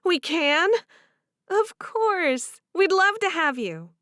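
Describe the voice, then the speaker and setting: A woman voicing a kind young woman who gently reassures a friend. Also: very high-pitched, sing-song, slow pace, no room sound, studio quality, voice pushed up, full character voice. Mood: reassuring.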